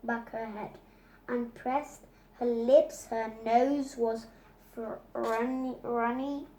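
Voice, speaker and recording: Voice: mid-range voice, fairly even intonation, slow, mellow, roomy sound, poor audio. A child reading a children's storybook aloud, carefully, with stumbles and repeated words.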